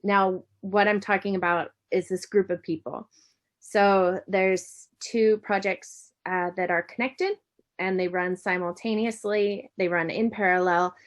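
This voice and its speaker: A woman's voice: mid-range voice, steady tone, unhurried. A middle-aged American woman giving an online academic presentation over a video call in a friendly way, with frequent ums and self-corrections. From United States. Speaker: university lecturer.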